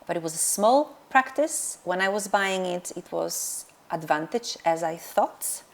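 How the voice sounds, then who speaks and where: This is a middle-aged woman with a Polish accent and a low voice that has a gravelly edge. She talks about her work as a dentist in an interview, pausing often with fillers and restarting her sentences. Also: lively intonation, slight background noise. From Poland. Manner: hesitant, many fillers and restarts. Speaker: dentist and practice owner. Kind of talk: interview answers.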